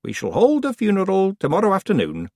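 A man's voice: sits high, wildly swooping pitch, fairly quick, a little mellow, no room sound, pitched above the performer's own voice, full character voice. A man putting on a stern ancient witch. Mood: dry. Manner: crisp, dry, matter-of-fact. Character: very old stern calm witch woman.

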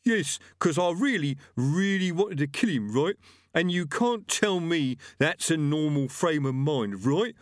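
A man's voice: on the high side, expressive, a little slow, dry recording, studio quality, pitched above the performer's own voice. A man voicing the ghost of a thuggish male butcher who sounds aggrieved and self-pitying and stumbles over long words.

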